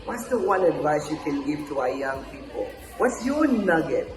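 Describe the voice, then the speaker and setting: Lively host from Congo, echoey sound. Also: male voice, fairly high pitch, normal speed, over music. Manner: lively, prompting questions, playful. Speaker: podcast host. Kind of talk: casual interview questions.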